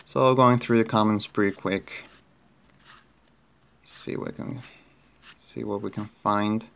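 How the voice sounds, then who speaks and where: A man's voice: on the low side, steady tone, slow pace, gravelly, fairly bright tone, clear, resonant tone. A young man from Chile with a Chilean Spanish accent, casually narrating a card pack opening with many fillers and restarts. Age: young adult. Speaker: card collector opening packs. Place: indoors at a table.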